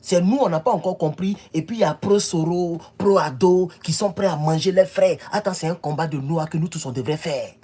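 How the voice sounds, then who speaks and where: A man's voice: a little high, a little fast, few pauses, warm tone, slightly rough. A man from the Ivory Coast, giving emphatic political commentary in a home video and often repeating himself. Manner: emphatic, repeats himself, rises to insistent peaks.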